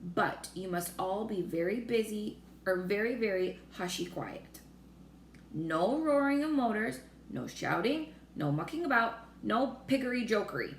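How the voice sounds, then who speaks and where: A woman voicing a calm, friendly male giant from a children's storybook, speaking in folksy, garbled grammar. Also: mid-range voice, a little slow, pitched above the performer's own voice. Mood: cautioning. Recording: roomy echo with faint noise.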